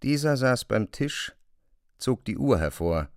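A man narrating a literary classic as scripted audio drama, in a measured, precise manner. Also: medium pitch, very animated, no room sound, clean studio sound.

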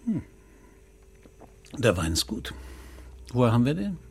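An actor in a radio play playing a calm, courteous middle-aged police commissioner who speaks in a measured, probing way. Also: male voice, mid-range voice, heavily acted. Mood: relaxed, wry. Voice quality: gravelly, husky.

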